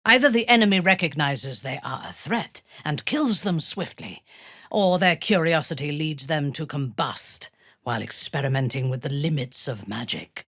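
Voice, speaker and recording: Husky, imperious, ruthless female warrior. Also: low-pitched, animated, fairly bright tone, dry recording, clean studio sound, full character voice. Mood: measured, watchful. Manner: imperious, measured, menacing delivery. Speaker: video game voice actor. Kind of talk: dramatic fantasy game dialogue.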